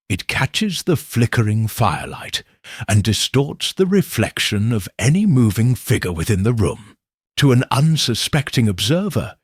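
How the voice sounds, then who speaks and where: A man voicing a composed, sharp-witted detective who talks low and measured. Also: husky, no room sound, clean studio sound.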